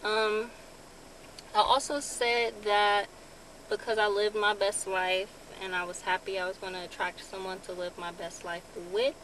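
A young woman vlogging from a parked car in a relaxed, casual way, with lots of ums. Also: medium pitch, a little slow, rough recording. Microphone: phone camera on dashboard. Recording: car with clear background noise. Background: vehicle ambience.

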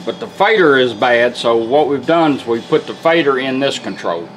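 A man's voice: medium pitch, lively intonation, normal speed, poor audio. A man giving a casual, folksy, plain-spoken demonstration as a car radio restorer. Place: indoor workshop bench. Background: music from the demonstrated radio.

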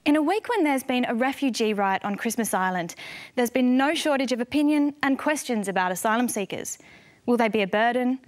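A young woman presenter in her twenties introducing a news segment in a clear, scripted way. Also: a little high, animated, a little fast. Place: TV studio set.

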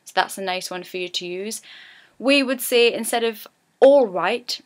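A woman's voice: medium pitch, moderate pace. A woman from Newcastle with a Geordie accent, rambling cheerfully as she teaches her local dialect in a casual lesson. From Newcastle, England.

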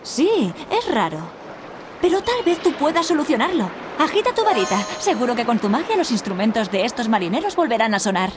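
A woman's voice: fairly high pitch, big pitch swings, brisk, over music. A woman playing an encouraging magical guide in a video game, giving scripted instructions in a reassuring way. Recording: clear ambient noise behind.

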